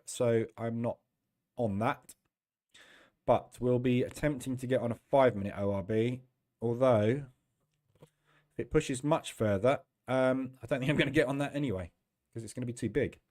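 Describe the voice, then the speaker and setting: Hoarse throaty guy with a cold, chatty, lots of ums, talking into a desk mic. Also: a little mellow. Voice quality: deep, throaty. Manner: chatty, greets viewers by name, many fillers. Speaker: trading stream host. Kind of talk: casual live-stream chat.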